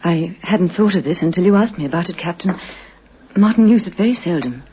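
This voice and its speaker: An actress playing a bewildered, anxious widow, hesitant and faltering, in an old radio crime drama. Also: female voice, lowish voice, lively intonation, fairly quick, slight background noise, close to an everyday voice. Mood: puzzled, shaken. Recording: muffled vintage radio recording.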